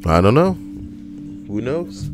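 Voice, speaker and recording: A man co-hosting a casual podcast, reading out strangers' stories with wry, deadpan, rambling asides. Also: medium pitch, drawn-out words, music in the background. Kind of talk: casual joking commentary.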